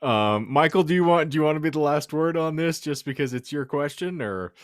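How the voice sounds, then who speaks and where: A man's voice: fairly high pitch, expressive, fairly quick, dry recording, clean studio sound. A man hosting a casual podcast with a theatrical, mock-solemn turn of phrase. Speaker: podcast host. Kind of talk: casual banter and hypothetical read-out.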